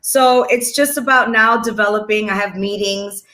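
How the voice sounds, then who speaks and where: A woman from Chicago chatting as a guest, lively and quick to laugh. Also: mid-range voice. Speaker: guest actress. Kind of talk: casual chat.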